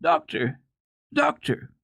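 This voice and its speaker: A man's voice: very high voice, huge pitch swings, slow pace, creaky, a bit bright, dry recording, studio quality, voice pushed up, heavily acted. A man voicing an earnest, affectionate, tearful little girl with a pleading, impulsive manner in a dramatic children's storybook reading. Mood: desperate sobbing.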